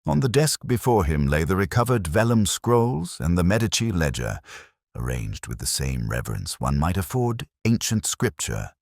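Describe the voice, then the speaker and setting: Male audiobook narrator, extremely low register, lively intonation, dramatic literary delivery, dry close sound. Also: normal speed, no room sound.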